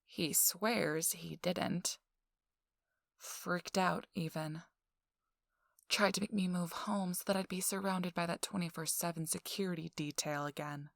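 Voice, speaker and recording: Feisty young socialite played by a woman for ASMR audio drama: lowish, creaky, sly, self-assured, close and intimate. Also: fairly even intonation, no echo. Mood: wry, confiding. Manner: slow, teasing, dry sarcasm, drifting into whispers.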